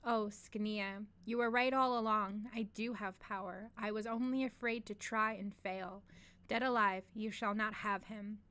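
A woman's voice: medium pitch, steady tone, measured pace, bright, forceful tone, clean tone. Woman reading as an earnest young apprentice sorceress. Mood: fierce.

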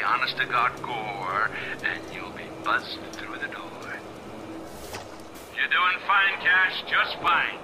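An actor playing a sadistic snuff-film director in a video game, coaxing and menacing in a theatrical way. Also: male voice, on the high side, background noise. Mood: excited, savage. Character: sadistic male snuff-film director ordering a captive around.

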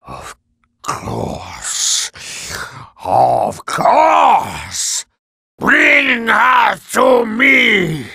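A sinister mad scientist for a video game. He is very raspy and creaky, with halting, very slow phrases that swing wildly in pitch. The manner is grandiose and theatrical. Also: wildly swooping pitch, pushed and bright, dry recording, shouting, studio quality, heavily acted. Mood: triumphant, raving. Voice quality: raspy, gravelly. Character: megalomaniac, sinister male mad scientist villain. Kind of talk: video game cutscene acting.

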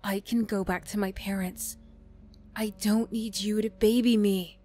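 A woman voicing a wounded, bitter young bully girl, defensive and vulnerable, in a halting ASMR roleplay style. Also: mid-range voice, fairly even intonation, dark tone, lightly acted. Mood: angry, flustered. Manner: halting, swearing, emotional, slow delivery.

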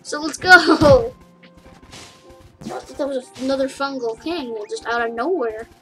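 A woman casually narrating her play of a game for viewers, rambling and chatty, with giggles and excited yelps. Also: high voice, a little slow. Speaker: gaming YouTuber. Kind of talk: casual let's play commentary. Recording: game music under the voice.

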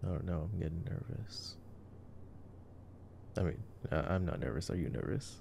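A man giving casual, hushed ASMR movie commentary as a boyfriend roleplay, sometimes dropping to a whisper. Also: deep voice, expressive, moderate pace, gravelly, slight background noise. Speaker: ASMR voice actor.

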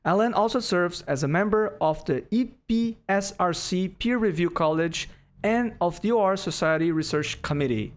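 High-pitched male podcast host. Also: normal speed. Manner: formal, reads bio at a steady clip. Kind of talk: interview with read introduction. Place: small home office. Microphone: studio microphone on boom arm.

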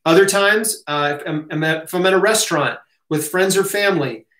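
A man's voice: high voice, fairly quick, fairly bright tone. An American man around forty talking casually to camera in a home office, conversational and reassuring, often checking in with short tag questions. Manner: conversational, reassuring, punctuates points with "right?" and "okay?". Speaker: health educator, host. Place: small home office, indoors. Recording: roomy home-office echo.

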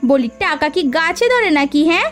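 A woman voicing a scornful, sharp-tongued married sister-in-law in a moral story cartoon, scolding with taunting questions. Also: high-pitched, very animated, normal speed, soft background music. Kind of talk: dramatic cartoon dialogue.

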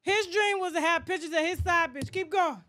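A woman in her thirties or forties gives sassy gossip commentary in a high voice. She is blunt and dramatic, with a mocking edge, and speaks close to a studio microphone. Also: fairly quick, sharp tone, a little hiss or hum. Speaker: gossip show host. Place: small indoor studio set. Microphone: stand microphone up close.

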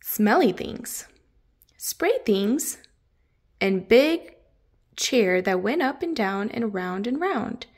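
A woman's voice: on the high side, very animated, slow pace, long pauses, a little mellow. A woman reading a children's picture book aloud, expressively, drawing out the descriptive words for emphasis. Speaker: children's story narrator.

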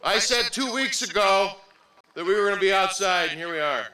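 A middle-aged man announces in a joking, informal way, with a fairly high and very bright voice. His words go through a PA and are heard from a distance, echoing across an outdoor stadium. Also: expressive, brisk. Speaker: acting high school principal. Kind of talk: ceremony emcee. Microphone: podium microphone. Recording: echoey PA heard from afar.

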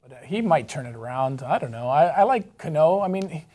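A middle-aged man from Venezuela talks sports casually on a studio panel. His voice is high for a man, and he speaks as a commentator would among colleagues. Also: expressive, fairly quick, mellow. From born in Venezuela, Argentinian family. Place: TV studio, at a desk.

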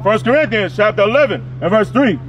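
A man reading verses aloud outdoors in a slow, measured way. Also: high-pitched, expressive, slight background noise. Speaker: scripture reader. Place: outdoors by a parking lot. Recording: distant, dull outdoor pickup.

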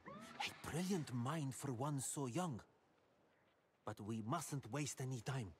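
An actor voicing a wise, grave male elder sage in a video game, speaking in a measured, solemn way. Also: mid-range voice, lively intonation, husky, full character voice. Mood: impressed, urgent. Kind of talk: video game character voice acting.

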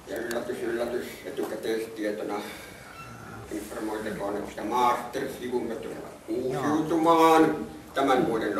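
A man makes formal announcements at a medium pitch. The room echoes heavily and the recording is distant and noisy. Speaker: club secretary addressing a meeting. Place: indoor meeting room, camera in the audience.

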